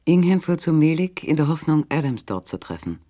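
An actor narrating a literary German radio play as a sober, measured third-person storyteller. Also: male voice, a little high, big pitch swings, mellow.

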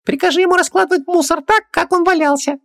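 A tiny storybook genie, piping away far above a normal talking voice. The little creature is sly and cheeky, with a squeaky, whining edge. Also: male voice, fairly even intonation, fast, vocal fry, a bit bright, heavily acted. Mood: snide. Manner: cheeky, whiny exclamations.

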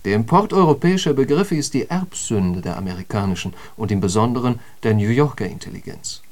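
An actor as an eloquent intellectual holds forth in a literary radio play. His middle-pitched male voice is emphatic and declamatory, with the bite of a polemicist. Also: animated, brisk, a little mellow, lightly acted. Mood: scornful. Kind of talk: literary radio drama monologue.

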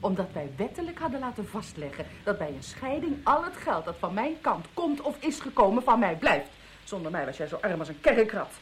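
A woman's voice: medium pitch, sing-song, brisk, slight background noise, close to an everyday voice. A woman playing an embittered, overwrought wife in an audio drama, with a dramatic, accusing manner. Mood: bitter, accusing. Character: embittered, overwrought wife trapped with her husband.

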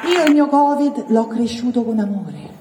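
A woman's voice: on the high side, lively intonation, normal speed. A woman doing playful, colloquial, mock-boastful stand-up chat with an audience. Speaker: stand-up comedian. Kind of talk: stand-up comedy, chatting with audience. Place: live indoor venue before audience. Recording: echoey hall, distant, noisy with crowd.

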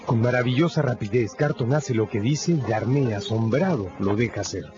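A man narrating a scripted radio drama in a measured, grave storytelling manner. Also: mid-range voice, expressive. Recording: dull radio recording with loud music. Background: loud music.

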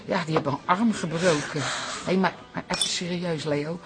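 Actor as gravelly, earnest café regular. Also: male voice, fairly high pitch, steady tone, normal speed, close to an everyday voice. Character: Arie, good-natured, earnest male café regular. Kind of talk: Dutch radio drama acting.

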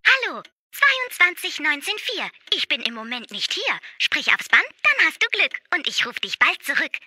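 A woman's voice: high-pitched, sing-song, moderate pace, pushed and bright, no echo, clean studio sound, full character voice. An actress playing a cheery girl mouse reciting a rhyming answering machine greeting in a children's audio play. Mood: perky.

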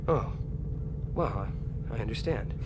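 A man's voice: medium pitch, lively intonation, measured pace, noisy background, lightly acted. An actor playing an eager, earnest young farm-boy pilot in a radio drama, boyish in manner. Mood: crestfallen.

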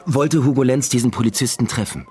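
An actor in a German radio drama playing a brusque, hot-tempered police inspector with a clipped way of talking. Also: male voice, medium pitch, brisk, mellow, lightly acted. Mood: curt, wary. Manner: curt, clipped questioning.

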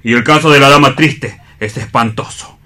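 An actor with an accent from Spain playing a fussy, prim, morbid clinic assistant in a radio play reading, formal and indignant. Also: male voice, medium pitch, normal speed, pushed and bright, lightly acted.

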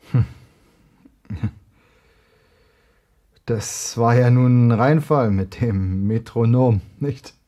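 A man's voice: on the low side, warm tone, muffled recording. An actor playing a practical, guarded young married man in a German radio play, talking in a colloquial, matter-of-fact way.

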